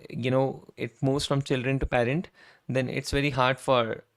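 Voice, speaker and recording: A man with a hoarse, worn-down voice, chatting casually on a live coding stream, often tagging his sentences with "right?" and clearing his throat. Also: mid-range voice, level tone, normal speed. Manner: conversational, frequent "right?" tag questions, throat clearing. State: hoarse, voice almost gone. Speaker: streamer and software engineer. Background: keyboard typing and clicks.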